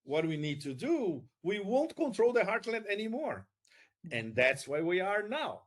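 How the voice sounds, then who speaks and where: A man's voice: high-pitched, big pitch swings, takes it slowly. A man giving conversational political commentary in an emphatic way, repeating words for stress.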